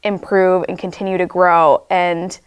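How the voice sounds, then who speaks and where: A woman's voice: lowish voice, flat delivery, a little slow, clean tone, dull audio. A young woman from South Dakota, vice president of the student government, giving thoughtful, earnest answers with casual filler words. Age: young adult. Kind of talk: interview answers.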